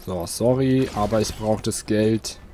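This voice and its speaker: A man's voice: on the low side, unhurried, warm tone, a little hiss or hum. A man hosting a let's play, chatting casually and thinking aloud while he plays, seated at home. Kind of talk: casual gameplay commentary.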